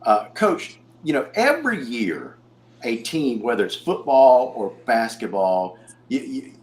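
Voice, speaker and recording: A man's voice: mid-range voice, very animated, measured pace. A man hosting a local sports talk show, asking long, hesitant questions full of fillers. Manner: rambling, hesitant questions full of "uh" and "you know". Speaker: show host. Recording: echoey room with faint noise.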